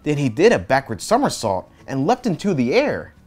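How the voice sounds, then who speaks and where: A man reading a children's picture book aloud as an expressive storyteller. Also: a little high, normal speed, breathy edge. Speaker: storyteller, host. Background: background music.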